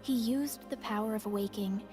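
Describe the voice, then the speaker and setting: A woman playing an earnest young game heroine, recounting her friends' battles in measured, solemn narration. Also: medium pitch, over music, natural voice. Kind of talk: scripted story recap narration.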